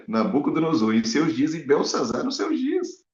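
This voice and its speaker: A man preaching a Bible study sermon, animated and full of rhetorical questions to his listeners. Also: on the high side, moderate pace. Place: in Spain. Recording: echoey, dull lo-fi room sound.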